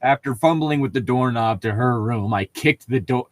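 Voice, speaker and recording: A man's voice: mid-range voice, moderate pace, no echo. A man reading fan fiction aloud in a casual group, breaking off for sarcastic, nitpicking comments.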